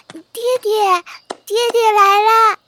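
A woman voicing a small excitable toddler in an audio drama, speaking slow, halting, babyish phrases. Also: slight background noise, exaggerated voice. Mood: excited. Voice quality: babyish, squeaky. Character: small excitable toddler who adores daddy. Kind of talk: Chinese audio drama acting.

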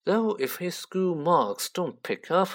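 A man's voice: fairly high pitch, very animated, unhurried, pitched above the performer's own voice. A man voicing a haughty, cold aristocratic wizard father who speaks with curt, condescending disdain in a storybook reading.

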